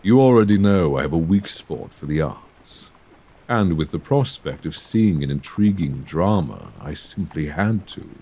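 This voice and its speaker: Deep, creaky Victorian gentleman vampire, acted by a man. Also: lively intonation, a little slow, full character voice. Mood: charming, inviting. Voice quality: low, creaky voice. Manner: genteel, formal, solicitous phrasing with endearments. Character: suave, courteous Victorian gentleman vampire, tenderly charming. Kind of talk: ASMR roleplay, scripted intimate monologue. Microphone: close binaural microphone.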